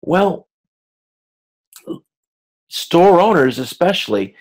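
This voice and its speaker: A man's voice: medium pitch, darkish tone, rough recording. A man lecturing in a conversational, explanatory way, speaking directly to listeners with hesitations, repeated words and self-corrections. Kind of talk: informal lecture on business.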